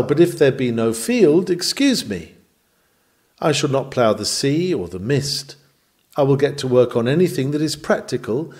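A man reading a sermon aloud with emphatic, measured, oratorical phrasing in a rhetorical preaching style. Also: fairly high pitch, darkish tone. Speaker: sermon reader.

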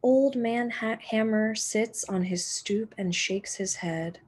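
A young woman, a teacher reading a children's picture book aloud expressively, with deliberate dramatic pauses and emphasis. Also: mid-range voice, a little mellow, breathy edge. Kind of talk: expressive children's storybook narration.

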